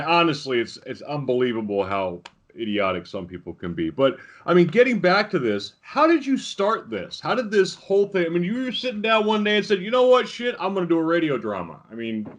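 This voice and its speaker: A man's voice: fairly high pitch, very animated, fairly quick. An American man hosting a podcast interview, telling stories in a rambling, informal, amused way. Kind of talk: casual chat, storytelling.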